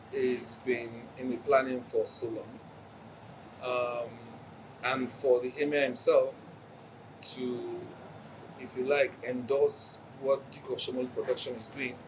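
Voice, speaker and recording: A Nigerian man makes a deliberate promotional appeal in broken phrases. His pitch is average, and a clear hum sits behind the voice.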